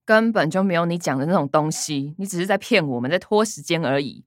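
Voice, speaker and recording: A blunt, sarcastic younger woman of the household played by an actress in a radio play. Her slightly low voice swings widely in pitch, with a Taiwanese accent and little patience. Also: animated, a little fast, no echo, clean studio sound, natural voice. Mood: bitter, fed up. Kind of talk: radio drama acting.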